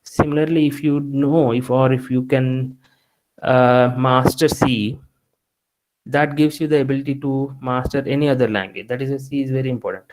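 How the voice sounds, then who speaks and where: A man's voice: mid-range voice, fairly even intonation, normal speed, darkish tone, low-quality recording. A man, a Telugu speaker, giving conversational career advice to engineering students and often restarting his sentences. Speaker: guest speaker.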